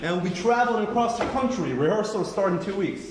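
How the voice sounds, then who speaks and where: Young guy playing an earnest son pleading with dad, high lively voice, echoey theatre. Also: quick pace. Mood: nervous confessing. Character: earnest grown son pleading with his father. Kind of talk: live stage play acting. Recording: echoey hall recording, faint noise.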